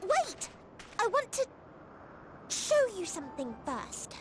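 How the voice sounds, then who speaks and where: Tiny, very high-pitched eager creature. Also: female voice, wildly swooping pitch, slow pace, very noisy, full character voice. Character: small childlike female familiar, eager and sweet. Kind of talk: video game cutscene acting. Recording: loud music over voice.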